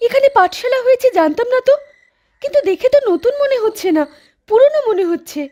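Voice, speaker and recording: A woman's voice: extremely high pitch, expressive, fast, dark tone, muffled recording. A woman voicing a frightened, stammering village wife in a cartoon. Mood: uneasy. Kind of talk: cartoon voice acting.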